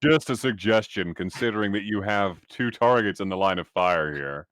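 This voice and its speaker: A man's voice: on the low side, lively intonation, moderate pace, a bit bright, dry recording, clean studio sound. A man playing a tabletop role-playing game, trading casual banter in a wry, sarcastic way.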